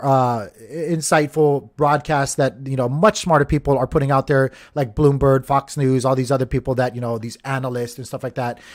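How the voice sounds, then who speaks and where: A man from Hawaii hosting a crypto channel, giving casual market commentary in a rambling, chatty way, full of fillers and restarts and talking straight to the viewers. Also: medium pitch. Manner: addresses viewers as guys.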